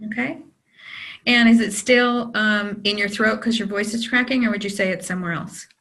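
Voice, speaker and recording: Bright-voiced woman leading tapping. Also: medium pitch, moderate pace. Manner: calm, leads phrases for repeating. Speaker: EFT tapping practitioner. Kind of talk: guiding a tapping session.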